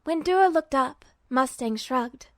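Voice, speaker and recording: A woman's voice: sits high, expressive, slow pace, no echo, clean studio sound. A woman narrating a scripted story as an audiobook-style reader. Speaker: podfic narrator.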